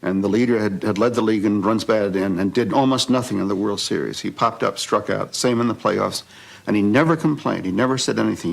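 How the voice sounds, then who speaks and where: A man's voice: lowish voice, vocal fry. An older man reminiscing reflectively about sport, as in an interview.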